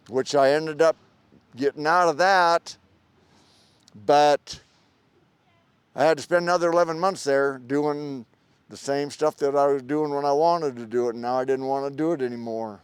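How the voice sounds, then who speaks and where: Older American man, veteran, rambling storyteller, smooth, clear tone. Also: a little high, steady tone, dry recording. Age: 70s. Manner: rambling, plainspoken, with pauses and fillers. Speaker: veteran interviewee. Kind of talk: personal storytelling. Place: outdoor park event.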